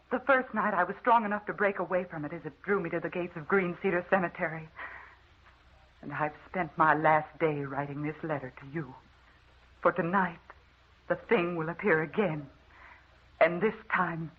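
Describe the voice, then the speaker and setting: An actress playing a doomed, haunted wife giving a melodramatic farewell monologue in an old-time radio drama. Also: female voice, fairly low pitch, slightly rough. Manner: melodramatic, slow building dread. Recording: tinny, narrow old radio recording.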